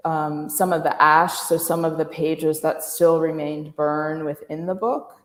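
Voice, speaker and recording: A woman giving an informal talk as an artist, speaking thoughtfully and haltingly with trailing pauses. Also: deep voice, fairly even intonation. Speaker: visual artist, guest speaker. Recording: echoey reverberant room.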